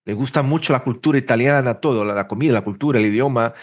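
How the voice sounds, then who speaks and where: A man from Brazil, a native Portuguese speaker, being interviewed in a relaxed way, thinking aloud with restarts and fillers. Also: medium pitch, quick pace. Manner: rambling, self-correcting, thinks aloud with fillers. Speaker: interpreter and language teacher, podcast guest. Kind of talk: casual interview conversation.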